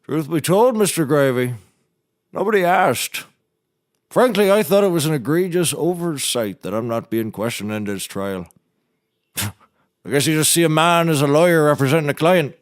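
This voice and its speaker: A man's voice: on the high side, animated, moderate pace, natural voice. An actor playing a bumbling maintenance man turned lawyer in a scripted comedy, folksy and mock-formal, wandering off the point. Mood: unbothered. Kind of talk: scripted comedy courtroom drama acting.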